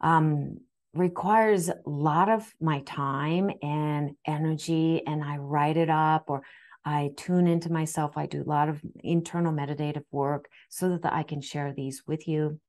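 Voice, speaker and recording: A woman in her forties whose first language is Japanese gives an earnest, gentle spiritual talk to camera from a room at home, often restarting her words. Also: sits low, fairly even intonation, unhurried. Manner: soft, earnest, with frequent restarts and fillers. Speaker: spiritual astrology guide. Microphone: webcam framing, seated facing camera.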